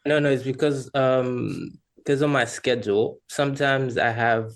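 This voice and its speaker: Male podcast guest from Rwanda, creaky medium-pitched voice, casual, candid, self-deprecating. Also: steady tone, a little slow. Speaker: podcast guest, freelance creative. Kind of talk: casual personal chat.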